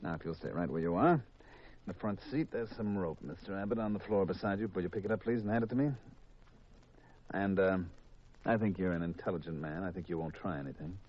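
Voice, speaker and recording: Hard-boiled American murderer, menacing and courteous, deep voice, muffled vintage radio sound. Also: male voice. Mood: controlled menace. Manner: deliberate, hard-boiled, mock-polite. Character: cold, confessing killer, male insurance man, coolly menacing. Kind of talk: 1950s radio mystery acting. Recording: muffled, dull 1950s radio recording.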